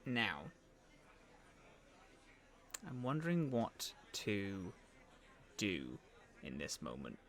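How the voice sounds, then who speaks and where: Bright-voiced man running a tabletop game. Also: lowish voice, wildly swooping pitch, slow. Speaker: game master. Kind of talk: improvised tabletop game narration.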